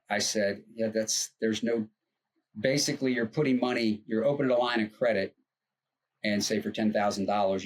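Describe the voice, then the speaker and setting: Man, husky voice, low pitch, earnest, rambling podcast talk. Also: low-quality recording. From United States. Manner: rambling, earnest, explains at length. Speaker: co-host, financial advisor. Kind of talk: casual podcast chat.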